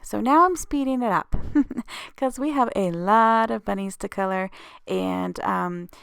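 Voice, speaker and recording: A woman's voice: medium pitch, measured pace, vocal fry, a bit bright, smooth, no echo, clean studio sound. A woman with a slightly scratchy voice hosts a casual craft tutorial voice-over, explaining each step informally.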